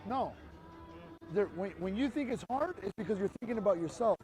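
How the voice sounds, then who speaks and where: Street activist, male, high voice, emphatic and lecturing, outdoors with faint music behind. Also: expressive, brisk, a little mellow. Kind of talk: persuasive street conversation.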